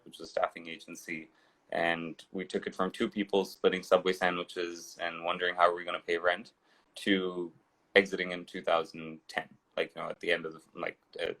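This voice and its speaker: A man's voice: sits low, fairly bright tone, poor audio. A man in his thirties, raised in Dubai and India, telling his life story as an interview guest with a digressive, restart-filled way of talking. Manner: digressive, rambling with frequent restarts.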